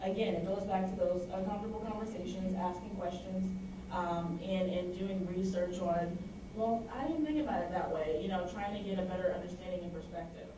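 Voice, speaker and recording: A woman's voice: fairly low pitch, fairly even intonation, a little fast. A woman speaking as a panelist at a community forum, earnest and full of fillers. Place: library meeting room. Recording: echoey room, distant mic, noise.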